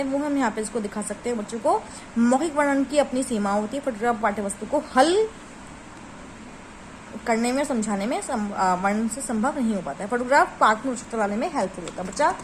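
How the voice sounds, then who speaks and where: A woman's voice: high voice, a little hiss or hum, rough recording. Woman teacher explaining from notes. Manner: reads textbook phrases, then rephrases them in casual Hindi-English.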